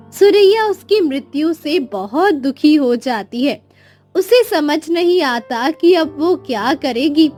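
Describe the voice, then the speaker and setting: A woman's voice: sits high, darkish tone. A woman narrating a scripted moral story as storyteller.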